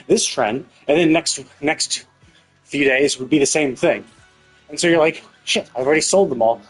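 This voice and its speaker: A man's voice: on the high side, husky, poor audio. A man doing casual live game commentary, thinking aloud in a rambling way and swearing casually.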